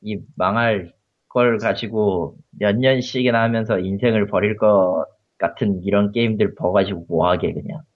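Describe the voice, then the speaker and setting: A man's voice: fairly low pitch, fairly even intonation, moderate pace. A man in his thirties on a casual podcast, speaking bluntly and cynically. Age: 30s. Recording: lo-fi audio.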